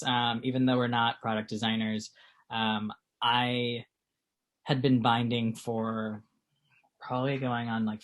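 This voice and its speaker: A man in his late twenties from Texas, co-owner of a small clothing company, answering interview questions in a chatty, filler-heavy way. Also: medium pitch. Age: 20s. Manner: chatty, lots of fillers, laughs easily.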